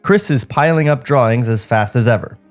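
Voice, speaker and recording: A man's voice: medium pitch, a little fast, faint music behind. A man co-hosting a podcast, reading a script aloud with irreverent, joking banter. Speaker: podcast co-host.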